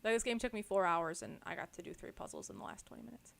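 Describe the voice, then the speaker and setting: A woman's voice: mid-range voice, sing-song, fast, creaky. A woman with an American accent chatting casually and emphatically as a podcast co-host. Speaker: book club podcast co-host. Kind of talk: casual chat.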